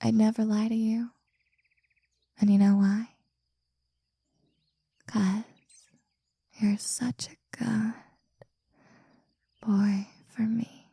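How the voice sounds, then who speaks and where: A woman performing an intimate scripted audio roleplay, talking softly and teasingly and often dropping into a whisper, close to the mic. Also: fairly high pitch, flat delivery, extremely slow, pauses often, breathy edge, no echo.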